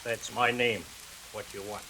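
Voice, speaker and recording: An actor playing a surly, threatening junkyard fence in a 1940s radio drama, gruff, on a hissy old recording. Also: male voice, fairly low pitch, flat delivery, moderate pace, bright, forceful tone, low-quality recording. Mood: menacing. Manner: gruff, menacing.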